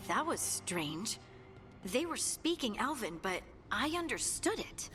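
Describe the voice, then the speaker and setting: A woman's voice: on the high side, very animated, slow pace. A woman playing an inquisitive, thoughtful adventurer in a video game, explaining things expressively. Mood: curious. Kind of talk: naturalistic video game dialogue. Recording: long hall reverb, faint music.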